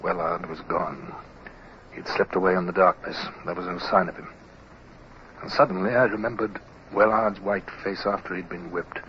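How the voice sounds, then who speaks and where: An actor playing a commanding, reflective naval lieutenant in an old radio drama, speaking crisply and with measured authority. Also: male voice, low voice, steady tone, close to an everyday voice. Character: brisk commanding young male naval lieutenant, reflective when narrating. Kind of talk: 1950s radio drama acting and narration. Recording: dull, hissy vintage radio recording.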